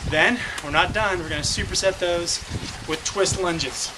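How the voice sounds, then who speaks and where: Young male fitness vlogger, energetic coaching tone, outdoor porch camera audio with steady background noise. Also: high-pitched, measured pace. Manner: upbeat, coaching, direct to camera. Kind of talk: casual workout instruction. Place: covered front porch outdoors on a rainy day.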